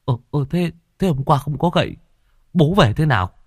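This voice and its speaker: A man's voice: on the high side, animated, moderate pace, slightly rough, no room sound, studio quality, voice pushed up. A man doing a stammering young village son. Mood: nervous.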